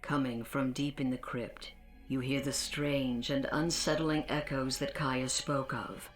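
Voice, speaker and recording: Mysterious dungeon master lady, super low voice, slow, grave. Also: fairly even intonation. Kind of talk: scripted dramatic narration. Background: game music.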